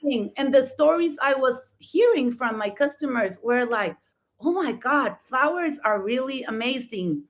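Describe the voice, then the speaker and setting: A woman's voice: sits high, animated, moderate pace. A middle-aged woman from Mexico with a Mexican accent, a native Spanish speaker, telling her story as a co-host, enthusiastic and laughing often, often rephrasing with "I mean". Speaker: florist and talk show co-host.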